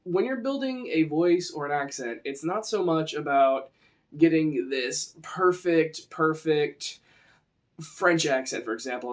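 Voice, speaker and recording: Higher-voiced Western American man. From western United States. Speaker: tabletop RPG presenter. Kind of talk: informal instructional talk.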